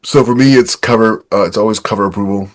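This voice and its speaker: A man's voice: fairly low pitch, fast. A man co-hosting a casual podcast, speaking emphatically and jokingly acting out bits of quoted dialogue. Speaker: podcast co-host and author. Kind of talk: casual opinionated chat.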